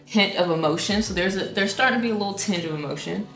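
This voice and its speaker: A young woman, a singer-songwriter, casually explains her own song with many ums and restarts and now and then sings a note; her voice is low. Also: fast, creaky, fairly bright tone, echoey room, soft background music, rough recording. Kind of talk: casual music breakdown.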